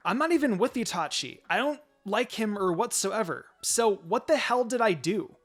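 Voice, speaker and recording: Storybook role: haughty bully girl, teenage, high-voiced and spiteful, played by a man, music behind. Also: expressive, moderate pace, fairly bright tone, heavily acted. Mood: frightened, defensive.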